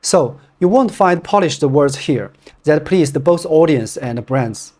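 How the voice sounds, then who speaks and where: A man's voice: a little high, slow pace. A man with a Chinese accent, a non-native English speaker, giving a plain, straightforward scripted review of running shoes. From China. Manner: plain, straightforward, steady delivery.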